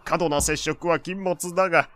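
A man's voice: on the high side, steady tone, normal speed, a bit bright, lightly acted. An actor playing a proud, doting, wealthy old father who talks in pompous old-fashioned speech in an audio drama. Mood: fond, proud. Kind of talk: Japanese audio drama acting.

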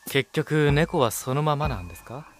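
A man's voice: on the high side, lively intonation, normal speed, faint music behind, close to an everyday voice. An actor playing a courteous, mild-mannered younger man with formal phrasing in an audio drama. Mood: polite, sympathetic. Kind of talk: Japanese audio drama acting.

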